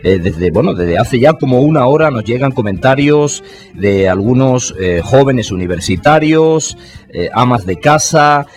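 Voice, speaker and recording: A man's voice: medium pitch, expressive, a little slow. Radio host guy, talks formal, lots of eh's, faint music under him. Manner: warm formal greetings with frequent "eh" fillers. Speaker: radio talk show host. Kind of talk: live radio interview. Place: radio studio.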